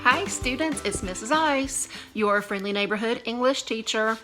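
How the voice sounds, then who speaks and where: High-voiced woman teaching an animated lesson. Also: moderate pace, music in the background. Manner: animated, conversational, emphatic repetition, voices imagined student reactions. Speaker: English teacher. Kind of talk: video lesson for young students.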